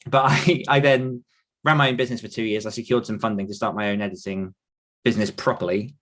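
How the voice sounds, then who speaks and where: A man's voice: on the low side, steady tone, fast, fairly bright tone. A British man in his thirties telling his own career story in a casual, rambling, chatty interview style. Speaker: podcast guest, author and writing coach.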